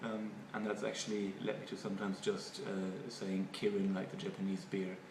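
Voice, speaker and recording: A man from Germany with a German accent, a native German speaker, giving halting, thoughtful interview answers and often repeating words. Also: sits low, completely flat delivery. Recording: echoey room, far from mic, noisy.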